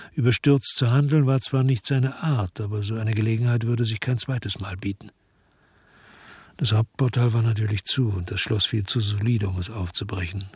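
Husky, weary hard-boiled investigator. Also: male voice, medium pitch, a little mellow, no echo. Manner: terse, laconic. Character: weary, hard-boiled male investigator telling his story. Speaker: first-person narrator and lead actor. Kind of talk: German radio drama narration and dialogue.

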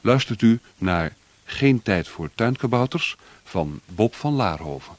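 A man announcing a radio play, reading a formal, measured scripted introduction close to a studio microphone. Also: fairly low pitch, animated, slight background noise.

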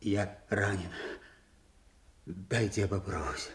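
An actor playing a cold, brutal, commanding duke in a classical tragedy, heavy and deliberate in his theatrical delivery. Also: deep voice. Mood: pained, ordering. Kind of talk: classical Russian stage tragedy acting.

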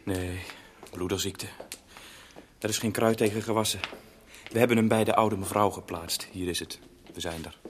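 A man's voice: on the low side, fairly even intonation, moderate pace, a little hiss or hum, natural voice. An actor playing a sombre man in a Dutch radio drama.

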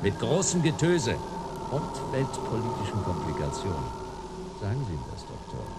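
An actor playing a cool, sardonic detective hero in a German sci-fi radio play, dry, terse and commanding. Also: male voice, on the low side, huge pitch swings, normal speed, heavy background noise.

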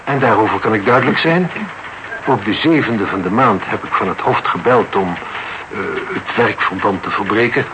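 An actor playing a self-important, evasive client in a Dutch radio drama, with a formal, slightly pompous manner. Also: male voice, lowish voice, normal speed, natural voice. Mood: formal, obliging. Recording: noisy old radio drama recording.

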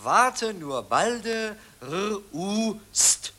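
A man's voice: high-pitched, sing-song, drawn-out words, bright, forceful tone, slight background noise, heavily acted. A man playing an eager, earnest young student in a 1940s radio play, explaining things in a chatty way. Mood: eager, knowing.

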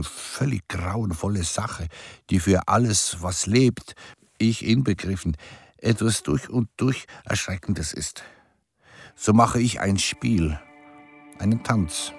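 An actor playing a male artist musing on death, speaking deliberately in a scripted radio drama recitation. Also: sits low, expressive, dry recording, faint music behind, exaggerated voice. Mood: grave. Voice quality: smooth, resonant.

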